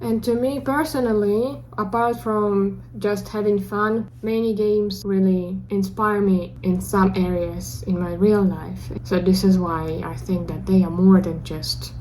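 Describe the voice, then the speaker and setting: Earnest Russian-accented woman, mellow voice. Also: medium pitch, steady tone, measured pace, hardly any pauses, a little hiss or hum, low-quality recording. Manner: self-conscious, earnest, a little awkward. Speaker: vlogger. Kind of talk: casual talk to camera. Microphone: camera mic.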